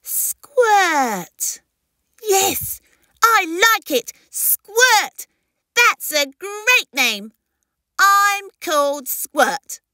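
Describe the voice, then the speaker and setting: A woman's voice: very high-pitched, very animated, drawn-out words, bright, forceful tone, no room sound, clean studio sound, pitched above the performer's own voice, exaggerated voice. A woman voicing a bold, stubborn baby boy dinosaur who exclaims and insists in a children's storybook. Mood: excited, insistent.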